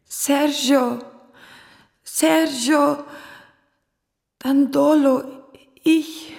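A timid, starving girl with an Arabic accent in a radio drama. Her high voice creaks and comes out in very slow, halting words in a reverberant hall. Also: steady tone, a little mellow, full character voice. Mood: fearful, pleading. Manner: halting single words in broken German. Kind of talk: radio play acting.

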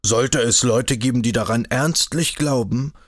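A man voicing a mocking, chatty older cavalry captain in an audiobook, loud and jovial with a sneer. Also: medium pitch, a little slow, no echo, clean studio sound, close to an everyday voice.

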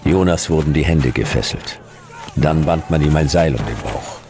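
Very deep, gravelly sardonic detective. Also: male voice, moderate pace. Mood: dry, sardonic. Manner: dry, deadpan, short sentences. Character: world-weary, sardonic detective narrating his ordeal. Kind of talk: scripted radio drama narration.

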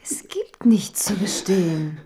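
Proud young princess bride for radio drama: lowish, slow, firm. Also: female voice, animated, mellow, slight background noise. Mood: defiant. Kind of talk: German radio drama acting.

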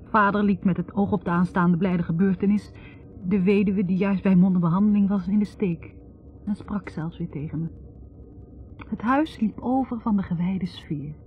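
An actress playing a wounded, bitter young academic woman confessing in a halting, accusing way in a 1960s radio drama. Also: medium pitch, slight background noise, lightly acted. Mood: bitter, weary. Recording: tinny narrow-band 1960s radio recording.

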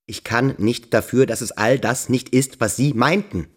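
An actor playing an introspective adolescent boarding school pupil in a radio play, halting and searching with quiet intensity. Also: male voice, medium pitch, slightly rough, no room sound, studio quality, natural voice. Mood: defensive. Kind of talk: German radio play monologue.

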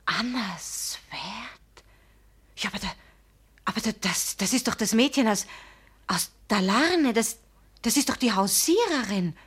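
A woman's voice: a little high, lively intonation, a little slow, long pauses, a bit bright, breathy edge, heavily acted. A woman playing a proud, passionate young fiancée in a radio drama, speaking emphatically and with dramatic feeling. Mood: stunned disbelief. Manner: emphatic, dramatic, swinging from indignant to tender. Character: proud, passionate young fiancée pleading with her pastor beloved. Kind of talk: German radio drama acting.

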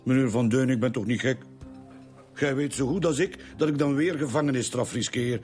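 An actor playing a slippery ex-convict restaurant boss with a Flemish accent in a radio drama, mockingly friendly and sarcastic. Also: male voice, mid-range voice, a little fast, a little mellow, faint music behind, close to an everyday voice. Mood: defensive. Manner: smooth-talking, sarcastic.

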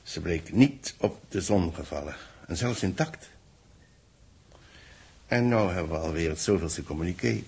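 A man acting a worried official in a 1960s Dutch radio play, speaking in a measured, formal way with a gravelly, husky voice. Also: low-pitched, lively intonation, a little mellow, heavily acted. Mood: grave, pondering. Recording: muffled-edged vintage 1960s radio recording.

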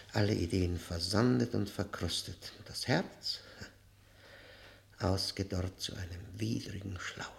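A man acting an embittered, haunted prisoner in a German radio play, speaking in a brooding, literary, halting manner with heavy pauses. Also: lowish voice, creaky. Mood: bitter disgust. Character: embittered long-term prisoner, haunted and disgusted, confiding.

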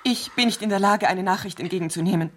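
An actress playing a nervous, imperious, sickly rich wife in a radio thriller, agitated and easily outraged. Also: female voice, mid-range voice, fast talker, lightly acted. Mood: indignant. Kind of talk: 1970s German radio thriller acting.

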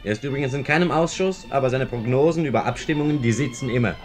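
A man plays a knowing explainer in a 1950s radio drama. He chatters quickly in a mid-pitched voice, with soft music behind him. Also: lightly acted.

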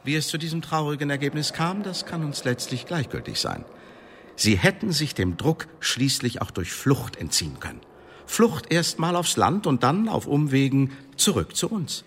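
An actor playing a cold, sardonic Elizabethan secret-service interrogator in a German radio drama, speaking in a pedantic, condescending, formal way. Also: male voice, fairly high pitch, sing-song, normal speed, a bit bright, a little hiss or hum. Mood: coolly menacing.

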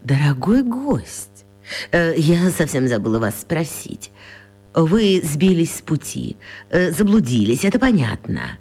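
A woman's voice: low voice, sing-song, unhurried, warm tone, slightly rough, faint music behind, heavily acted. An actress playing a chatty, eccentric elderly woman pensioner with a genteel, coquettish manner in a children's radio play. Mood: warm, curious.

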